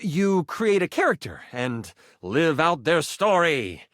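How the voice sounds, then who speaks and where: An eager, geeky living die who runs fantasy adventures as a video game character. The voice sits high and swings widely in pitch, full of theatrical storyteller flourish and excitable nerdy zeal. The sound is dry and close. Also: male voice, takes it slowly, no room sound, heavily acted. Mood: eager explaining. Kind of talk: animated video game voice acting.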